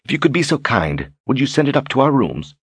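A man's voice: medium pitch, big pitch swings, brisk, husky, no room sound, pitched above the performer's own voice. A man voicing a stern, irritated woman who speaks in a clipped, controlled way, as in an audiobook. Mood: polite. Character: stern, curt, irritated woman traveling companion. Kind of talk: audiobook character acting.

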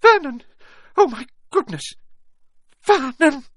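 A man's voice: medium pitch, sing-song, unhurried, a bit bright, no room sound, studio quality, pitched above the performer's own voice. A man voicing an anxious, easily horrified middle-aged aunt with a quavering voice in a storybook reading.

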